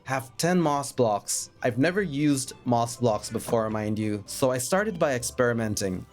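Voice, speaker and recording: A man narrating his gameplay in a chatty, self-mocking voice-over, a bit raspy from a cold. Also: mid-range voice, animated, measured pace. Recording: faint background music.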